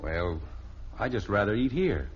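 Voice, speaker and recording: Grumbling radio-sitcom dad, lively swings. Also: male voice, lowish voice, unhurried. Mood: wistful, hesitant. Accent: American. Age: middle-aged. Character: grumbling, soft-hearted middle-aged family man, a father. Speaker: actor. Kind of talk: old-time radio family comedy acting. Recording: dull, noisy old radio recording.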